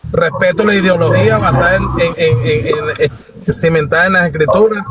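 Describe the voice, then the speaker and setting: A man from Venezuela with a Venezuelan accent giving an informal testimony as a guest, respectful and halting, on faintly noisy live audio. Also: fairly high pitch, steady tone. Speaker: guest participant in a live discussion.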